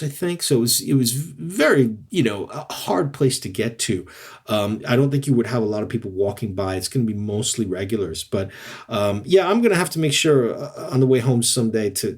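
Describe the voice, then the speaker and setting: A man co-hosting a casual podcast chat, telling stories in an animated way and acting out voices as he quotes people. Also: medium pitch. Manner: animated storyteller, acts out quoted voices, laughs easily. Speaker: co-host, jazz bar hunter.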